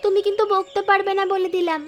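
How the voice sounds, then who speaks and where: Chatty cartoon youngster woman acting, extremely high voice, narrow melody, faint music. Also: flat delivery, fast talker, slight background noise. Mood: excited. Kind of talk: animated moral story voice acting.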